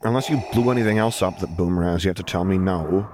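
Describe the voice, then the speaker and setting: A man's voice: deep voice, fast, noisy background, lightly acted. A man voicing a sarcastic, exasperated young man with a short temper in an audiobook, blunt and emphatic. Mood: anxious exasperation. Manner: blunt, sweary, emphatic jabbing delivery. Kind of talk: audiobook character voice.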